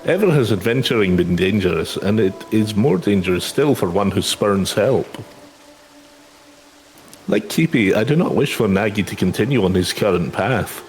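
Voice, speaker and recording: A man's voice: fairly low pitch, expressive, moderate pace, a little mellow, slight background noise, poor audio. A man voicing a courteous, concerned seasoned adventurer from a fantasy game, formal and solemn. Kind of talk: reading game dialogue aloud in character.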